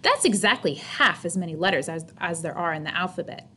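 A woman's voice: lowish voice, expressive, fairly quick, sharp tone, a little hiss or hum. A woman voicing a snooty young mouse schoolgirl from a storybook, pointed and teasing. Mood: snooty, taunting. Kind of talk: children's storybook character.

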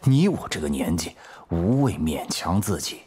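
男演员饰演的低沉沙哑的中年公安局副局长. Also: male voice, low voice, very animated, a little slow, warm tone, breathy edge, full character voice. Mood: concerned, earnest. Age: middle-aged. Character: cautious middle-aged deputy police chief, concerned old colleague. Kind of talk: Chinese audio drama acting.

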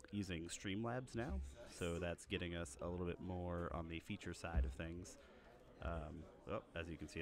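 Deep-voiced man hosting a game stream. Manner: relaxed, rambling, chuckling asides. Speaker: stream host and commentator. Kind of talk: casual stream commentary. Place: game store play area. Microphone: directional mic about five feet from the game table. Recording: voice over store crowd chatter.

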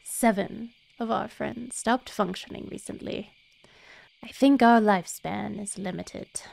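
A woman voicing a grave, gentle male mage automaton in a video game, soft-spoken and measured. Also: medium pitch, steady tone, creaky, low-quality recording. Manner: measured, solemn.

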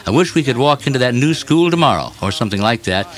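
A man's voice: medium pitch, lively intonation, quick pace. A man working as a radio news reporter, reading a scripted editorial in an emphatic, opinionated way.

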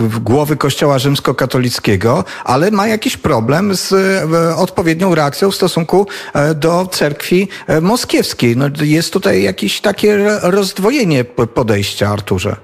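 A man hosting a radio talk show, speaking in a halting way and stumbling over words. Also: on the high side, gravelly. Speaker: radio host.

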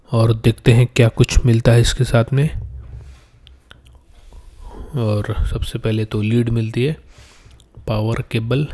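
A man presents a product unboxing in a casual, plain way. His voice is soft-edged and mellow, with very little rise and fall in pitch. He explains each part step by step at a middling pitch.

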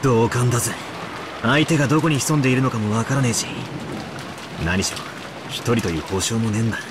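A man playing a cool, rough-talking young man in an anime visual novel, with a blunt casual manner. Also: medium pitch, fairly quick, natural voice. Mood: serious. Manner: casual, blunt masculine speech. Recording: loud rain noise behind.

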